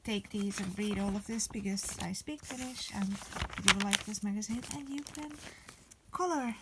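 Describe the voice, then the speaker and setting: A woman's voice: medium pitch, normal speed, heavy background noise. A young woman from Estonia, chatting enthusiastically in a casual vlog voice-over. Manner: chatty, enthusiastic, repeats "really". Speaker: vlogger.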